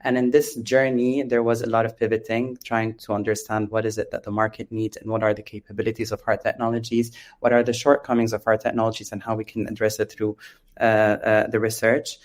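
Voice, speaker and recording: The Lebanese-accented male founder you would hear as a guest on an industry interview, hesitant, low and level in pitch. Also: little pitch movement. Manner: hesitant, halting, with frequent long ums. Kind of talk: explanatory interview answers.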